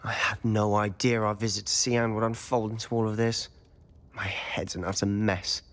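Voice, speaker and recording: A man as a brooding, low-voiced young wizard. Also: flat delivery, normal speed, a bit bright, lightly acted. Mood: exasperated, hopeful. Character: brooding, persuasive teenage wizard schoolboy guarding secrets. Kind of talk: video game cutscene acting. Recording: long hall-like reverb.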